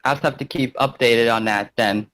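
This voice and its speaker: Man, middle-pitched voice, amateur story narrator and host, casual, stumbles over words. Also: fairly even intonation, moderate pace, a bit bright. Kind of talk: amateur fan fiction narration and casual chat.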